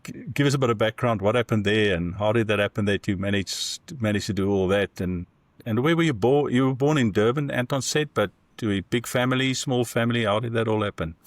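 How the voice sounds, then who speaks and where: A man's voice: lowish voice, brisk, no echo. A man co-hosting an interview podcast, asking rambling, easygoing questions full of fillers. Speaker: podcast co-host.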